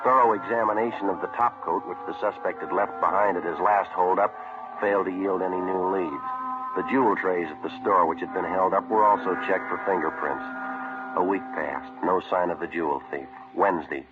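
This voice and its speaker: An actor playing a stoic American police sergeant in an old radio crime drama, terse and matter-of-fact. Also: male voice, low voice, fairly even intonation, fairly quick, over music, close to an everyday voice. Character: stoic, businesslike male police sergeant who narrates his cases. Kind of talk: 1950s radio crime drama, clipped narration. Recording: noisy, dull old radio recording.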